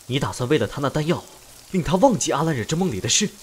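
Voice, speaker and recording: Teasing immortal friend, very animated. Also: male voice, a little high, a little hiss or hum. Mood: incredulous, teasing. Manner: animated, wry, drawn-out musing. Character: chatty, nosy immortal friend, teasing and incredulous. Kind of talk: Chinese costume fantasy audio drama acting.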